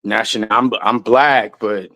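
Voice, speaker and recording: A man's voice: on the low side, steady tone, slow pace, pushed and bright. A man in a casual interview, talking in a loose, rambling way with lots of restarts and some swearing. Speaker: guest musician.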